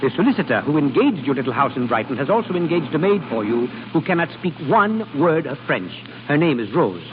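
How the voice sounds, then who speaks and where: An actor playing an exacting, unsentimental guardian with a clipped, lecturing manner in an old radio drama. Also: male voice, fairly high pitch, very animated, a little fast, hardly any pauses. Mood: brisk, hopeful. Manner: clipped, stern, dryly lecturing. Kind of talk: 1930s-40s radio drama acting. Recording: tinny phone-like, hissy old radio broadcast.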